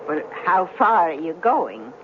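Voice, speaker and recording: Gentle, tinny old-radio heroine. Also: female voice, lowish voice, sing-song, takes it slowly. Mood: polite. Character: tender, firm remembered female sweetheart; polite bus passenger. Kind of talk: 1970s radio drama acting. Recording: tinny, faintly noisy 1970s radio recording.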